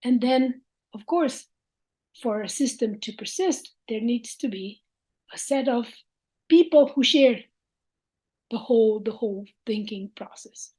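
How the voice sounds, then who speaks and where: A woman lecturing in English with a Slovene accent, speaking in a slow, reflective way with frequent hesitant fillers. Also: on the high side, warm tone, rough recording. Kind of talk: academic lecture.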